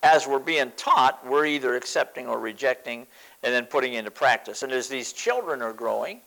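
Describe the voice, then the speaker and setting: A man's voice: mid-range voice, moderate pace, gravelly, fairly bright tone, rough recording. A man in his seventies preaching a sermon, emphatic, repeating phrases for stress. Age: 70s.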